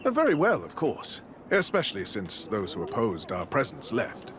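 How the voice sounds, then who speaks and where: An actor playing a zealous, smooth-talking priest in a video game, formal, preachy and condescending. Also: male voice, on the low side, huge pitch swings, normal speed, noisy background. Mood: pious, proud. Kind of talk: scripted video game dialogue.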